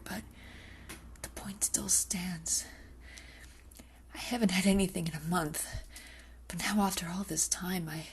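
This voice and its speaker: A woman's voice: on the low side, darkish tone, a little hiss or hum. A woman playing an obsessive, possessive female vampire in an intimate ASMR roleplay, often dropping into whispers, hungry and coolly domineering. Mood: breathless craving.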